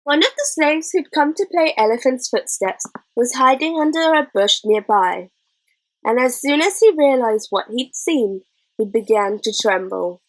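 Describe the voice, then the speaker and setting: A woman's voice: fairly high pitch, lively intonation, unhurried, low-quality recording. A woman reading a children's adventure story aloud as scripted narration. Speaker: audiobook reader.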